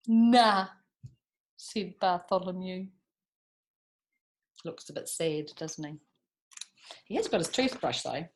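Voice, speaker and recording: A woman's voice: on the low side, lively intonation, slow, pauses often. A woman hosting a children's storytime, reading aloud animatedly and chatting to young listeners with questions and asides. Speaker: children's storytime reader and host.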